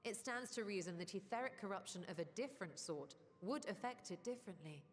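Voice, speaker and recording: An actress voicing a composed, scholarly sorceress in a video game, speaking formally and articulately. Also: female voice, mid-range voice, animated, fairly quick, close to an everyday voice. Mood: analytical. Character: scholarly sorceress, composed, eloquent, keen for discovery. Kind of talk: scripted video game voice acting. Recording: long reverb, music behind.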